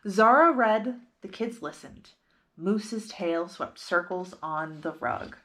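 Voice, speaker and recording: A woman's voice: on the low side, animated, slow pace, fairly bright tone. A woman hosting storytime, reading a picture book aloud expressively and putting simple questions to young listeners.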